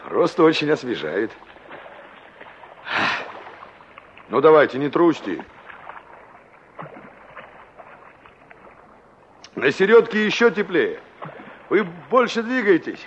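An actor playing a reflective, nostalgic young engineer in a Soviet radio drama, probing and expressive in his delivery. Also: fairly high pitch, unhurried, pauses often. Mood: lively. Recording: muffled, noisy old radio drama recording.

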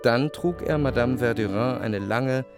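An actor playing a reflective, ironic gentleman narrator in a radio drama, articulate and analytical in his literary telling. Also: mid-range voice, unhurried, over loud music, lightly acted.

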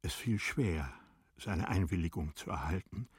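A man narrating a tragic classic story in a radio play, in a solemn, measured, dramatic manner. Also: low voice, lively intonation, slightly rough, no room sound, studio quality, exaggerated voice. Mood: grave.

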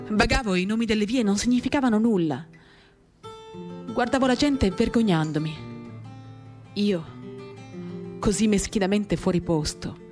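A woman giving an intimate, measured dramatic reading of a literary text, pausing between short phrases. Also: mid-range voice. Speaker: reader of a novel. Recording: guitar music under the voice. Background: acoustic guitar.

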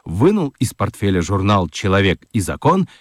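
A man narrating a children's radio play as an expressive, dramatic storyteller. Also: lowish voice, moderate pace, no room sound, studio quality.

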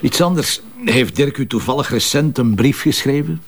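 A Flemish police commissioner in a naturalistic crime drama. He questions politely, with a dry edge. His manner is probing and pointed, at an everyday mid-range pitch. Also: moderate pace, breathy edge.

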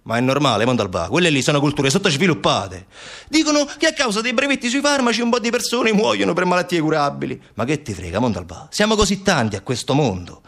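A man's voice: fairly high pitch, sing-song, fast talker, fairly bright tone, pitched above the performer's own voice, full character voice. A man playing a brash, cynical policeman colleague who needles and hectors in colloquial, repetitive speech in a one-man stage monologue. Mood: mocking. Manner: hectoring, wheedling, colloquial, repeating himself.

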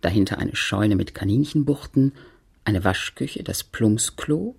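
A woman playing a sober narrator recalling her childhood home in a radio play, measured and factual, with a husky, creaky voice. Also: extremely low pitch, animated, a little mellow, full character voice. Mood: sober, reflective. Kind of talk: literary radio play narration in German and French.

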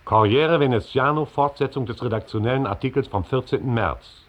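An actor playing a formal reader of an indignant newspaper editorial in a 1960s radio drama. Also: male voice, medium pitch, a little fast, lightly acted. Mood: formal, insistent. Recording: dull, band-limited old radio sound.

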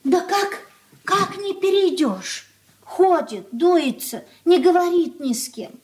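A woman's voice: very high-pitched, lively intonation, takes it slowly, darkish tone, exaggerated voice. An actress playing an anxious, submissive old mother in a radio play, speaking in a folksy, plaintive, confiding way. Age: older.

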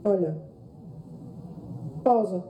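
Very mellow Portuguese woman performing a monologue. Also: mid-range voice, extremely slow. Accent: European Portuguese. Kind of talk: theatrical radio-drama monologue. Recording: roomy echo with faint noise.

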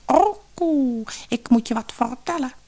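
A woman puts on a magical storybook dove that talks. The voice is high and cooing, confiding and gently insistent, as if sharing a secret. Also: measured pace. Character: talking dove, cooing, mysterious and insistent, in a fairy tale.

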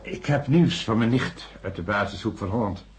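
A man's voice: on the low side, normal speed, close to an everyday voice. A man playing a polite, earnest doctor reporting urgent news in a radio drama, courteous but insistent.

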